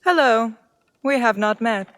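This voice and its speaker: Haughty noble lady in a game, highish voice, dry sound, formal and looking down on people. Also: unhurried, fairly bright tone, no echo. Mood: cool disdain. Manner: formal, condescending. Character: haughty, disdainful highborn lady. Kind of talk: video game cutscene acting.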